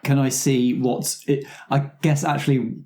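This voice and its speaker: A man's voice: mid-range voice, little pitch movement, measured pace, warm tone. A man playing a tabletop roleplaying game with casual in-game banter.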